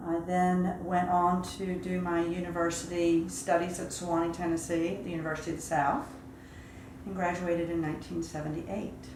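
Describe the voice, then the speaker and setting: A woman in her fifties, originally from South Carolina, recalls her life in an interview in a measured, reflective manner. Her voice sits a little low. The recording is roomy and echoey, with a faint hiss of ambient noise behind it. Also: little pitch movement. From Charleston, South Carolina. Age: 50s. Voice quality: measured, reflective, with occasional fillers. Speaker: interview guest. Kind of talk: recounting life story in an interview.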